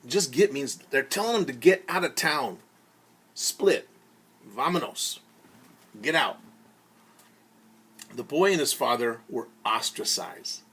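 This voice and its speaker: Man, children's storyteller, teacher, unhurried delivery, creaky raspy voice, theatrical, simple explanations. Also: medium pitch, lively intonation, a bit bright. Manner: theatrical, explains words simply, acts out sound effects. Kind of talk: storytelling lesson for young children.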